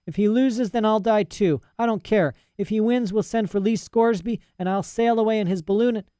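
A man reading a story aloud and voicing a defiant young girl on the edge of tears, with rough, ungrammatical speech. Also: sits high, brisk, mellow, no room sound, studio quality, pitched above the performer's own voice, natural voice. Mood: tearful outburst. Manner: rough working-class speech, indignant.

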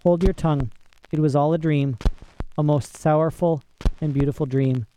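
A man playing a solemn old emperor in a storybook, measured and regretful yet commanding, with slight background noise. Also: a little high, fairly even intonation, warm tone, close to an everyday voice, rough recording. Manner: measured, grave, sorrowful.

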